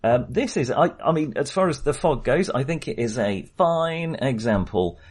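A man's voice: mid-range voice, expressive, normal speed, a little mellow. A man in a casual film discussion as a podcast host, talking in a rambling way that often qualifies itself.

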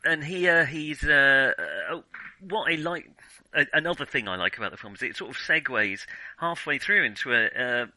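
A man in a casual film discussion, thinking aloud haltingly with many false starts. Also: fairly high pitch, bright, forceful tone, no room sound, poor audio. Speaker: film podcast host.

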